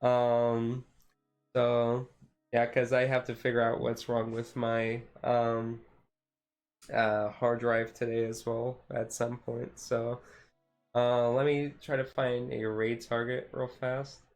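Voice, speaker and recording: A man talks casually to a livestream in a fairly flat, even tone. He rambles in a laid-back way, dropping informal, jokey slang as he goes. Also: medium pitch, slow pace, faint music behind.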